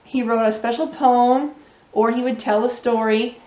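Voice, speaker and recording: A woman's voice: fairly high pitch, steady tone, a little slow, a little mellow. A woman telling and reading a children's story expressively, often putting questions to her young listeners. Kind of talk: children's read-aloud storytelling. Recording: roomy, echoey indoor sound.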